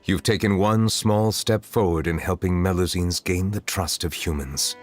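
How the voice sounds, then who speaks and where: Actor as dignified fantasy game statesman: low voice, formal, composed, measured authority. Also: steady tone, soft background music, lightly acted. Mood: formal, appreciative. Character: dignified, measured male high official. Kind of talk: video game character acting.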